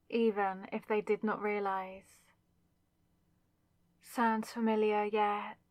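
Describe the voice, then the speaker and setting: A woman's voice: medium pitch, no echo, lightly acted. A woman playing a haughty, villainous spirit in a possessed body, taunting and theatrical with mock sweetness, in a scripted ASMR roleplay. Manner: taunting, theatrical, mockingly sweet, savouring words.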